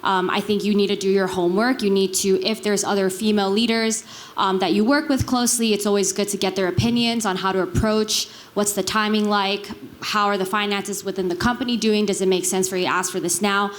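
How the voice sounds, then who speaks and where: A woman's voice: mid-range voice, fairly even intonation, fairly quick. A woman from Taiwan speaking casually and conversationally as a panelist, often checking in with the listener. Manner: conversational, frequent check-in tags like "right". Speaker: panelist, business executive.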